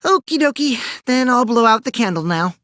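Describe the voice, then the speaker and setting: A man's voice: very high voice, lively intonation, moderate pace, bright, forceful tone, no echo, clean studio sound, heavily acted. Theatrical mischievous teen boy, wildly swooping voice. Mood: casual, chirpy. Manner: theatrical, swinging from bratty teasing to sinister taunting. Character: mischievous, lying, childish teenage boy, self-styled evil supreme leader. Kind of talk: English video game voice acting.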